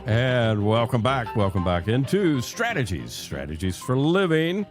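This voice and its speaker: An American man hosting a radio show in an animated, conversational way, with emphatic, repeated phrases. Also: mid-range voice, unhurried, music in the background.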